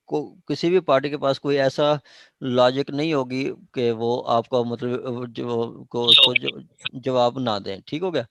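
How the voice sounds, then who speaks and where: A man's voice: mid-range voice, no room sound. Pakistani man teaching law online, dry sound. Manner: explanatory, repeats points and checks understanding. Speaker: law lecturer. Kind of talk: informal online lecture with question and answer. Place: online meeting.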